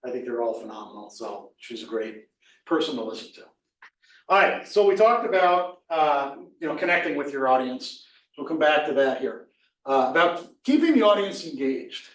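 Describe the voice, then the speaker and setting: A man with a slightly raspy voice gives a casual, enthusiastic talk as a presenter and instructor, often tossing in "right?" as an aside. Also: medium pitch, very animated, normal speed, echoey room, distant mic, rough recording.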